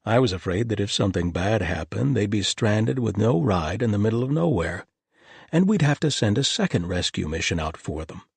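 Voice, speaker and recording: A man voicing an easygoing male paladin with a slow, wry delivery. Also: on the low side, animated, vocal fry, darkish tone, breathy edge, no echo, voice pushed up, heavily acted.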